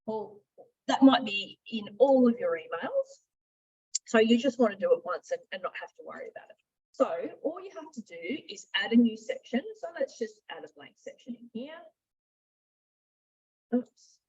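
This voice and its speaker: A woman trainer walking through software in an informal, instructive, conversational way, often thinking aloud. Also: on the high side, lively intonation, normal speed, slightly rough. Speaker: software trainer, marketing and sales director. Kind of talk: informal software tutorial with screen demonstration. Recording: dull, muffled lo-fi audio.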